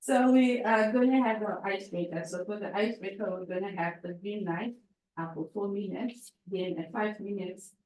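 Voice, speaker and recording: A woman chairs a public-speaking club evening in a roomy, echoey meeting room. Her talk is chatty and loose, full of little fillers and laughs, at an ordinary middle pitch. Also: moderate pace, darkish tone.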